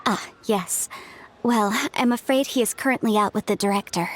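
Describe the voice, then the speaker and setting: A woman's voice: medium pitch, normal speed, natural voice. A woman voicing a polite, helpful clerk at a front desk.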